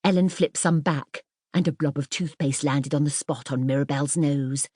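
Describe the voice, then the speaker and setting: A woman reading a children's story as an audiobook narrator, in an expressive, scripted storytelling style. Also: sits low, moderate pace, dry recording, studio quality.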